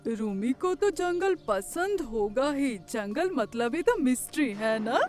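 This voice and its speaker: महिला की आवाज़ में धीमे बोलती चुलबुली ऊँची आवाज़ वाली लड़की. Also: female voice, sits high, big pitch swings, slow pace, darkish tone, faint music behind, full character voice. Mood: teasing. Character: teasing, easily amazed young girl friend. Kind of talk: Hindi cartoon story voice acting.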